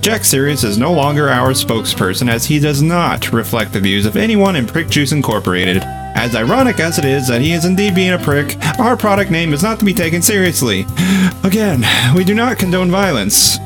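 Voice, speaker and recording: A man playing a pompous, mock-serious announcer reading formal disclaimers for a parody commercial in a deadpan, officious way. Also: a little high, brisk. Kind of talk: scripted parody ad narration. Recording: guitar music behind. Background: guitar music.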